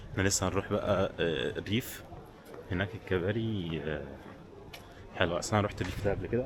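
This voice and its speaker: A man with an Egyptian Arabic accent giving casual, chatty travel commentary straight to his viewers while walking. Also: deep voice. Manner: chatty, addresses viewers directly. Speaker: travel vlogger. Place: outdoors, walking in streets and a village. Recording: noisy outdoor street and traffic sound.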